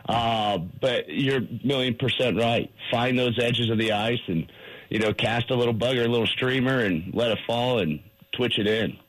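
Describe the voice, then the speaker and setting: A man with a mid-range, husky voice talks as a radio guest. He explains things eagerly, sprinkling in fillers as he goes. The sound is a radio broadcast. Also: medium pitch, normal speed, fairly bright tone, poor audio. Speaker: fly shop guest, fishing instructor.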